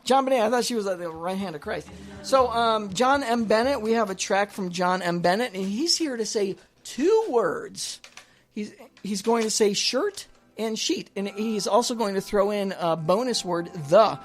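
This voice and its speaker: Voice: medium pitch, animated, normal speed. Rambling comedic radio host over music. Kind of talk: absurd comedic radio presenting, casual and rambling.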